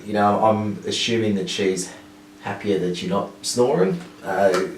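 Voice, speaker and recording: A man's voice: on the low side, unhurried. A man hosting a casual interview, asking questions in a friendly, conversational way. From Australia. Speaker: interviewer, sleep clinic host. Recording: echoey room, mic at a distance, faint background noise.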